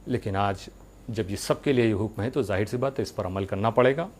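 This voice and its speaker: A man giving a religious sermon, speaking earnestly to his followers with rhetorical questions and deliberate pauses. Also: on the low side, a little hiss or hum.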